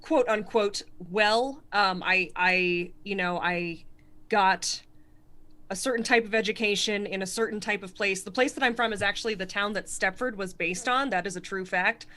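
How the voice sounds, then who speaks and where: A woman from Connecticut with a Connecticut accent, a stand-up comedian speaking fast and articulately in a casual interview, self-deprecating and laughing often. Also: mid-range voice, bright, forceful tone. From Connecticut, USA.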